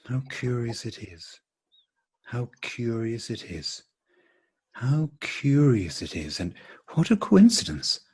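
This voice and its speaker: A man's voice: mid-range voice, big pitch swings, slow, darkish tone, slightly rough, heavily acted. A man playing a courteous, bemused married man in an absurdist radio play, speaking with formal, repetitive politeness. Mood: bemused, deliberate. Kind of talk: absurdist stage dialogue played as radio drama.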